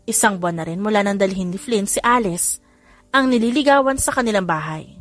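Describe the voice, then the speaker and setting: A woman's voice: mid-range voice, a little fast, faint music behind. A woman giving scripted narration of a romance audiobook.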